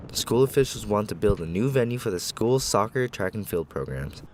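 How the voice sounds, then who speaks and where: A man's voice: lowish voice, slight background noise. Young man reading student news reports. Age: young adult. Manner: even, measured reporting delivery. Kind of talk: scripted news narration.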